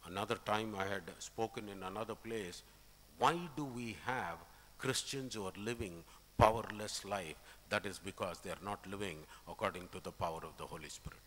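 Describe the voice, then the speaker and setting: Male preacher, middle-aged, Indian accent, emphatic teaching delivery, sharp bright tone, long church reverb. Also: fairly low pitch, slight background noise. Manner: emphatic, explanatory, deliberate phrasing. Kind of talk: expository sermon with scripture readings. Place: indoor church, at a pulpit. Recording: reverberant church hall sound.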